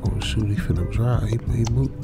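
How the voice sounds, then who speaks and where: A man's voice: lowish voice, fairly even intonation, a little fast, vocal fry. A man playing a frightened, stranded young American trucker in a radio drama, nervous and repetitive, often muttering or whispering. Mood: nervous self-reassurance. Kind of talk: old-school radio drama with ASMR whispering. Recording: music behind the voice.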